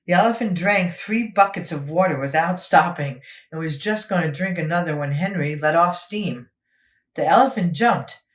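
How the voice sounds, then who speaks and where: A woman's voice: on the low side, normal speed, echoey room. A woman storyteller narrating a children's book expressively, as if for young listeners.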